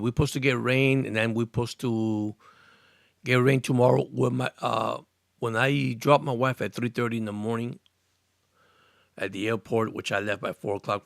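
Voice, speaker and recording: Gravelly-voiced man near sixty, rambling. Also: mid-range voice, fairly bright tone, dry recording, studio quality. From Cuba, raised in Miami. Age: about 60. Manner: rambling, repeats words and restarts, earnest. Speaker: live stream sports host. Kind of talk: casual live stream chat, reading viewer comments. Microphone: studio microphone.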